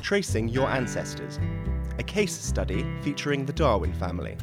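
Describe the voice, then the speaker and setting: A man's voice: mid-range voice, big pitch swings, moderate pace, vocal fry. A man reading a scripted podcast introduction over music. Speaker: podcast announcer. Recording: spoken over loud guitar music.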